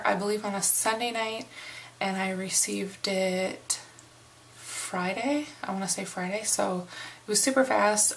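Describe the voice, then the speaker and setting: A middle-aged woman from the United States giving a casual makeup review in an unhurried, chatty way, hoarse and sniffling from a cold. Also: medium pitch, a bit bright, slight background noise. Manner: unhurried, chatty, many fillers. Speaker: beauty vlogger.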